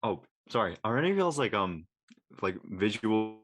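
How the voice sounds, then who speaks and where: A man in a casual cast discussion, talking in a halting way with repeated words. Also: on the low side, very animated, dry recording. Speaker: cast member of an audio drama.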